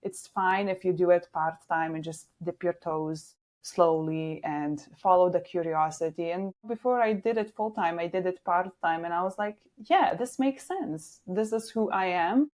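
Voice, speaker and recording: Young Slovenian-accented woman guest, lowish voice, easygoing chat full of "like.". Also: measured pace, hardly any pauses, darkish tone. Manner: chatty, frequent "like" fillers. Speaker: guest, social media manager. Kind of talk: casual interview chat.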